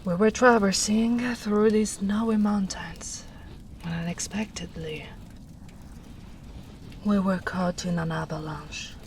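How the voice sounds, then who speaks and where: A woman as a slow, gentle, soft-spoken big ogress. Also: mid-range voice, fairly even intonation, gravelly, a little hiss or hum. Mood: somber. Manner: slow, soft, often whispering, tender. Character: gentle big female ogre, wistful and protective. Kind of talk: soft-spoken ASMR roleplay.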